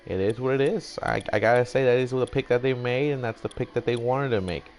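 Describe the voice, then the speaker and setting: A man's voice: medium pitch, fairly quick, a little mellow, soft background music. A young man doing casual, rambling mock-announcer commentary for a video game draft, swearing now and then. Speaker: gaming YouTuber.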